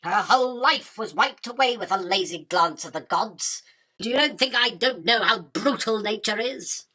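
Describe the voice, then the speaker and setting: An imperious spider queen from a radio drama, acted by a woman. Her voice is mid-pitched, bright and forceful. She talks down to her prey with haughty, dry scorn. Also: animated, moderate pace, slightly rough. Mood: defiant, proud. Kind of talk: illustrated radio drama acting.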